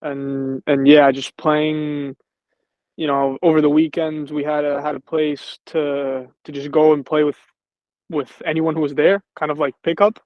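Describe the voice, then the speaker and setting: Easygoing footballer, dry and dull. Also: male voice, on the high side, level tone, a little slow, no echo. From Venezuela. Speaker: professional football player, guest. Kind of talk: casual interview.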